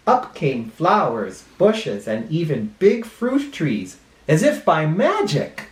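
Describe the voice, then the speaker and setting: A male teacher reading a picture book aloud to children expressively, pausing dramatically and explaining words in simple terms. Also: a little high, wildly swooping pitch. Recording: roomy, echoing room sound.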